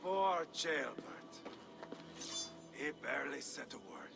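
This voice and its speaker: An actor playing an unstable, sadistic Viking warrior in a video game, gravelly and theatrical, savouring each phrase. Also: male voice, mid-range voice, huge pitch swings, slow pace, music in the background, full character voice. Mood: cold gloating. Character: unstable, sadistic, gleeful male Viking warrior hungry for glory. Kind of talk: video game cutscene acting.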